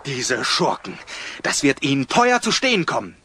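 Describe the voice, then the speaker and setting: A man's voice: medium pitch, very animated, normal speed, bright, forceful tone, a little hiss or hum. A man playing an upset, impassioned villager in a children's radio play, speaking in an agitated way. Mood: angry.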